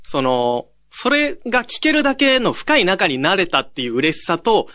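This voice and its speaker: A young man chatting as a guest in an earnest, courteous way, quick to apologise. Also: sits high, sing-song, sharp tone. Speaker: guest, trainee voice actor. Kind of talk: casual self-introduction and banter.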